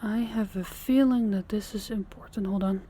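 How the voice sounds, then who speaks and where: A woman playing a weary, soft-spoken girlfriend. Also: medium pitch, steady tone, moderate pace, noisy background, natural voice. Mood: calm. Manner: soft-spoken, intimate, often near a whisper. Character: weary, tender young working girlfriend. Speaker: voice actor. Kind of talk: audio roleplay.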